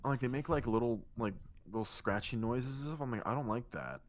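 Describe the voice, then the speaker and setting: A man co-hosting a casual podcast, talking in a relaxed, rambling way with a soothing voice and casual swearing. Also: medium pitch, a little fast, no room sound. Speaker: podcast co-host and streamer. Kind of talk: casual chat.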